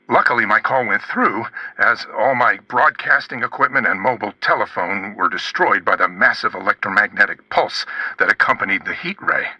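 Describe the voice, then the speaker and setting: Forceful, husky news reporter. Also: male voice, lowish voice, exaggerated voice, low-quality recording. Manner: formal, measured on-the-scene reporting. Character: male radio news reporter, urgent, grave eyewitness. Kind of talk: scripted radio drama news report.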